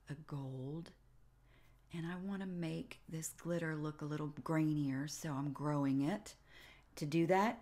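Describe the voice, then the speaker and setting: A woman with a notably low voice narrates a how-to tutorial in a casual, step-by-step way. She speaks very slowly, breaking her instructions into short phrases with pauses between them. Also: darkish tone. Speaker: tutorial host.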